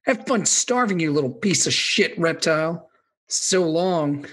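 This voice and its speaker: A man playing a greedy, sneering swamp villain with a rough, gravelly voice in a comic radio drama. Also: on the high side, expressive, unhurried, fairly bright tone. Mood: menacing, gloating. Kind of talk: comedic radio drama acting.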